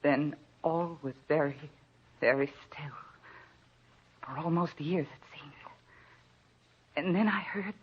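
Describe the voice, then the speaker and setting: An actress playing a frightened, anxious wife writing in her notebook, with tremulous, halting delivery in a 1940s radio thriller style. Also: low-pitched, husky, full character voice. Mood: tense, terrified. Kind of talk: 1940s radio thriller acting, narrated diary. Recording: muffled, noisy old radio broadcast recording.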